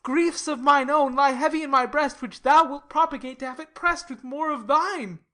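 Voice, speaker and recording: A woman's voice: sits high, normal speed, sharp tone. A woman playing a lovesick, brooding young nobleman in a dramatic Shakespearean reading, with a sighing, melodramatic delivery. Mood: anguished, passionate.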